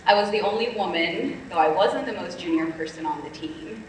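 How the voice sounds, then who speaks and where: A woman's voice: fairly low pitch, a little fast, slight background noise. A woman from Colombia, a panelist telling her story in a candid, reflective way with frequent ums. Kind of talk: panel discussion, personal story. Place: conference stage. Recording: echoey hall, distant mic.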